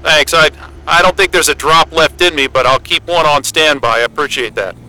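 A man's voice: fairly high pitch, moderate pace, bright, forceful tone, poor audio. An actor with an American accent playing an affable, candid male scientist new to Antarctica in an audio drama. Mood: friendly, weary. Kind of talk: naturalistic audio drama acting.

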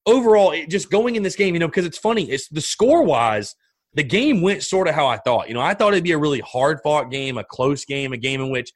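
A young man hosting a sports talk podcast late at night, rambling and excitable, his voice a little raspy. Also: a little high, brisk, no echo. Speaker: podcast host.

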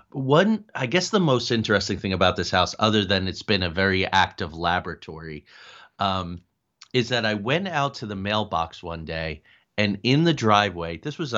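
A man with a low voice talks as a guest in a conversational interview. He thinks aloud as he tells his stories, breaking off into animated asides. The sound is dull and lo-fi, like an online call. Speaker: guest, paranormal investigator.

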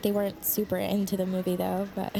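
A woman's voice: mid-range voice, completely flat delivery, brisk, gravelly, dark tone, a little hiss or hum, lightly acted. An actress playing an evasive, uneasy teenage girl who talks hesitantly and stammers, in naturalistic audio drama. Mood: awkward, evasive. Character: evasive, uneasy teenage girl, incoming high school freshman.

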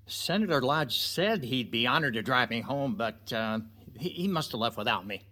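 An actor playing a doddering, polite old general, bewildered and halting, in an audio drama. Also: male voice, mid-range voice, expressive, bright, forceful tone. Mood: lost, bewildered. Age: older.

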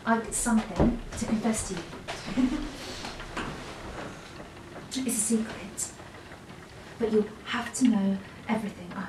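A woman playing an anguished, yearning young sister in an audio play, speaking in a halting, confessional, emotional way. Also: mid-range voice, close to an everyday voice. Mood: lovestruck confession. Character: anguished, yearning young sister pouring out despair and forbidden love. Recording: roomy, distant mic, light noise.

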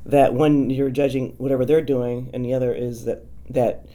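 A woman's voice: rumbling low, flat delivery, normal speed, mellow. A middle-aged American woman hosting a casual interview, speaking in a reflective, encouraging way. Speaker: interviewer, art teacher.

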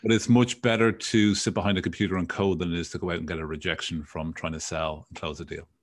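A man around forty speaks with a Dublin Irish accent in a very low voice. He talks casually and conversationally, like an entrepreneur telling his own story on a podcast. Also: fairly even intonation, quick pace. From Dublin, Ireland. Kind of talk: casual autobiographical interview talk.

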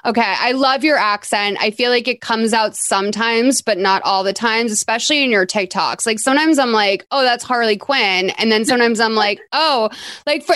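A woman's voice: on the high side, a bit bright, dry recording. Chatty woman podcast host, dry sound. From Massachusetts. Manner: chatty, rambling, lots of "like" fillers. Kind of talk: casual chat recapping a film.

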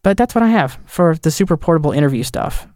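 A man's voice: fairly high pitch, expressive, quick pace, a little mellow, no room sound. Dry-sounding man talking casually. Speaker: podcast panelist. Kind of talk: casual gear talk.

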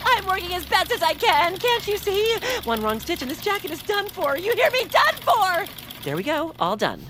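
An actor playing a vain, excitable, self-important little creature in a family audio drama, with theatrical delivery. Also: male voice, very high-pitched, normal speed, noisy background, heavily acted. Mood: frantic. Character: Noah, excitable, vain male puppet-like creature full of himself. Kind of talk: family audio fiction acting.

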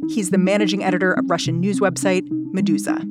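A woman's voice: mid-range voice, steady tone, fast, over loud music. A woman hosting an interview, asking curious, conversational questions. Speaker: interview host.

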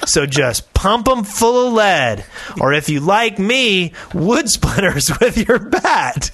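A man's voice: sits high, sing-song, takes it slowly, a bit bright. A man co-hosting a casual podcast, playfully theatrical as he chats and reads aloud. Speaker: podcast host. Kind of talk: casual chat and reading listener mail aloud.

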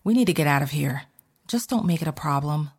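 A woman's voice: expressive, brisk, darkish tone. A woman recording voice lines who stumbles and retakes them. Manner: husky, low, reads lines then breaks off. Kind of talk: blooper outtakes.

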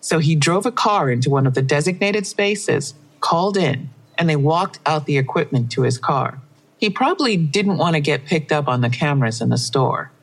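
Brisk, blunt woman detective. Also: low voice, animated, husky, full character voice, poor audio. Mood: brisk, confiding. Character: brisk, abrasive female police detective. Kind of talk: full-cast audio drama acting.

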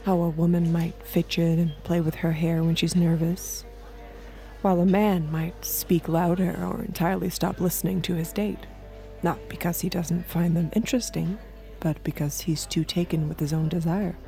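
An actress plays a cool, manipulative woman in an audio drama. Her voice is mellow and a little low, with measured, intimate delivery. Soft music sits underneath. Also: level tone, close to an everyday voice. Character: cool, watchful, manipulative woman escort narrating her thoughts. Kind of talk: intimate scripted audio drama narration and dialogue.

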